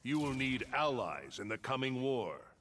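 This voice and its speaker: A solemn, stately thunder god. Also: male voice, medium pitch, sing-song, a little slow, a bit bright, a little hiss or hum. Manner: formal, stately, portentous. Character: solemn thunder god warrior, grave and dignified. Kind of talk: fighting game character dialogue.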